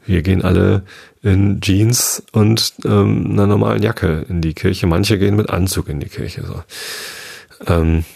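A man from the Hamburg area, talking through his ideas in a reflective monologue, halting often with fillers and restarts. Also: deep voice, fairly even intonation, gravelly, darkish tone. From Northern Germany, Hamburg area. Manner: hesitant, many fillers and restarts.

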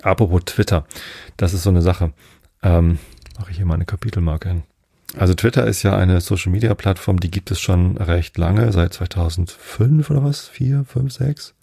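A man from Germany, hosting a bedtime podcast in a casual, unhurried, meandering monologue, thinking aloud. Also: low-pitched, creaky, dark tone, husky, no room sound, studio quality. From northern Germany. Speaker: podcast host.

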